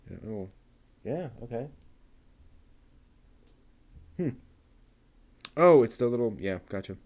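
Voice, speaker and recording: A man quietly talking himself through assembling a plastic model kit, half-mumbling as he works. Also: medium pitch, animated. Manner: mumbling to himself while working, unhurried. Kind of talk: muttered build commentary.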